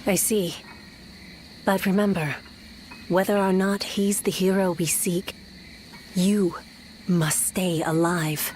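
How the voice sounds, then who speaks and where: A low-voiced, slow, solemn guardian woman. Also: steady tone, a little mellow, slight background noise. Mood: solemn, caring. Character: solemn, protective woman sworn to eliminate threats to peace. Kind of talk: video game dub acting.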